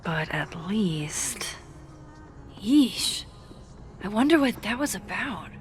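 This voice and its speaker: A woman playing a wry, inquisitive young amateur sleuth in a video game, musing quietly to herself. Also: mid-range voice, big pitch swings, slow. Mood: puzzled, wry. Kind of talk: naturalistic video game voice acting.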